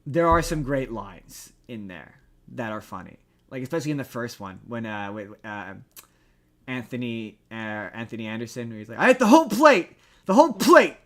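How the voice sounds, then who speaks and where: A man co-hosting a podcast, chatting casually about pop culture in an excitable, stammering, emphatic way with casual swearing. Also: medium pitch, normal speed. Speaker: podcast co-host.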